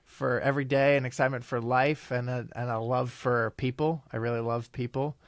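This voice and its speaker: A man in his thirties, from Italy and a native Italian speaker, chats as a podcast guest. His voice is gravelly with a bit of fry, and he pauses, restarts and rambles as he thinks aloud. The sound is dry and close. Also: mid-range voice, steady tone, no echo. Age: 30s. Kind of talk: casual interview chat.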